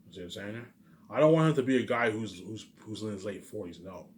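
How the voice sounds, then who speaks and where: A man's voice: on the low side, expressive, quick pace. A man talking casually in a rambling, repetitive monologue, musing aloud like a vlogger. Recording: noisy amateur recording.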